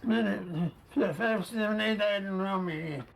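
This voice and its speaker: An elderly deaf man speaking in slow, broken, halting phrases in an interview. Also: sits high, gravelly, background noise. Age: older. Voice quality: deaf speech, halting and hard to follow.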